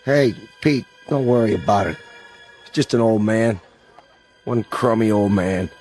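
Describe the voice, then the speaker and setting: A man's voice: fairly low pitch, unhurried, darkish tone, soft background music, natural voice. An actor playing a man in the crowd who threatens and dismisses an old man. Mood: gruff. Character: gruff man in a follower crowd.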